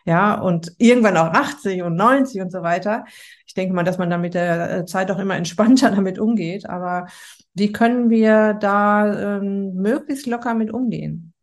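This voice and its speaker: A woman's voice: medium pitch, moderate pace. A woman, hosting a podcast and interviewing a guest in an upbeat, chatty way. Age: 50s. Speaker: podcast host.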